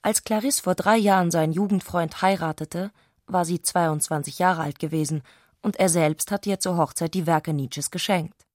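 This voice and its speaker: A woman's voice: on the low side, fairly even intonation, moderate pace, a little mellow, dry recording, clean studio sound. A woman reading scripted literary prose as a narrator in a radio adaptation.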